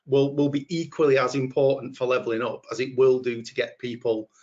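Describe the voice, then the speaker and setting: A man's voice: medium pitch, flat delivery, fairly quick, a little mellow, muffled recording. A man with a Yorkshire accent answering earnestly on a webinar panel, often repeating words as he thinks. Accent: Yorkshire English.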